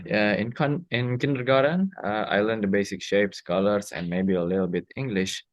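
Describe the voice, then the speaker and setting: Young male student, Indonesian accent, lowish pitch, dry close sound, prepared answers. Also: no room sound. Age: young adult. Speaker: university student. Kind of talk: prepared question-and-answer speaking exercise.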